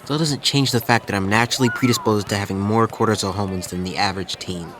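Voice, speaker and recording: Self-pitying teenage new kid , played by a man: slightly low voice, nerdy, anxious, noisy outdoor backdrop, audio drama. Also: fast, lightly acted. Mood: glum, anxious. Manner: geeky, melodramatic. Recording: clear outdoor background noise.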